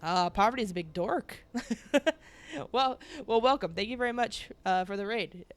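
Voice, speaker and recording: A woman's voice: medium pitch, moderate pace, clean tone. A young woman streaming a video game, nasal from a cold, chatting in a rambling way with viewers. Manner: chatty, rambling, laughs often. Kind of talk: casual chat while playing.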